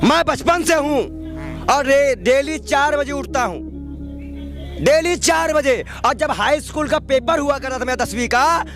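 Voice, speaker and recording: A man's voice: extremely high pitch, lively intonation, unhurried, fairly bright tone, faint music behind. A man in a heated TV debate, speaking emphatically and indignantly with repeated phrases.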